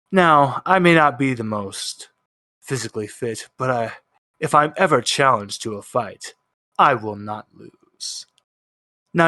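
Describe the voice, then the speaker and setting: A man voicing a self-satisfied cartoonist in his twenties, giving a measured, precise, quietly menacing monologue in a tabletop game. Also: mid-range voice, no echo, clean studio sound.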